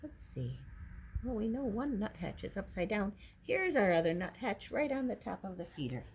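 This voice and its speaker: A woman's voice: medium pitch, big pitch swings, moderate pace. An older woman reading a picture book aloud to young children like a teacher, adding simple explanations and counting along. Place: indoor classroom with wooden furniture. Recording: noisy room recording with clicks.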